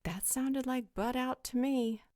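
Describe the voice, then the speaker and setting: A woman's voice: high-pitched, unhurried, a little mellow, dry recording, clean studio sound. A woman playing a frank, teasing, worldly supernatural guardian who speaks bluntly in a roleplay audio drama. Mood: supportive, firm.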